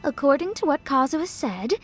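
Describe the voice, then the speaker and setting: High-voiced, thoughtful young adventurer. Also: female voice, big pitch swings, brisk. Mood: musing. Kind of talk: video game voice acting.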